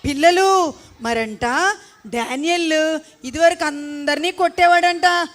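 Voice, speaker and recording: A woman's voice: high-pitched, slow pace. A woman with an Andhra Telugu accent hosting a children's puppet show, asking questions and repeating answers back to the kids.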